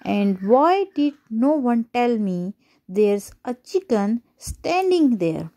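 A woman reading a children's picture book aloud as a storyteller, deliberate and a little halting in places. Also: fairly high pitch, big pitch swings, mellow.

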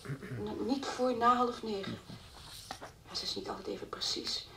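An actress playing the anxious, distraught mother of a missing girl in a Dutch radio play, halting and repeating her words. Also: medium pitch, very animated, sharp tone, full character voice. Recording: old radio play recording, faint hiss.

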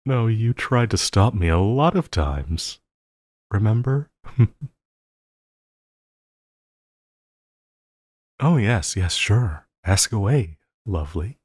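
A man playing an obsessive, lovesick supervillain in an intimate audio roleplay, halting and crooning, by turns sinister and pleading. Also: fairly low pitch, big pitch swings, darkish tone, slightly rough, no echo, studio quality, full character voice. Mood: coy, amused. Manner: halting, crooning, breaking into laughter.

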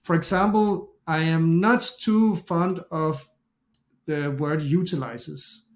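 A man's voice: on the high side, takes it slowly. Danish-accented man, echoey room. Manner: chatty, self-interrupting, thinks aloud with restarts. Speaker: SEO tutorial host. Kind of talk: casual screen-share tutorial.

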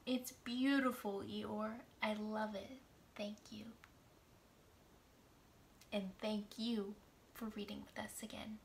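Storytime lady reading to little kids, slow n very expressive, bit of room noise. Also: medium pitch. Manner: animated, deliberate, talking to small children. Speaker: children's storytime host. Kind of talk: expressive picture-book storytime reading for young children. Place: small indoor room at home.

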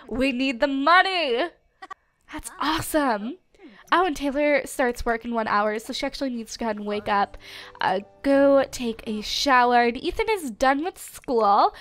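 A young woman with a noticeably high, bright-toned voice hosts a casual let's play. Her nose is stuffed up, and she chats bubbly, with frequent giggles and quick asides. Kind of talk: casual gameplay commentary.

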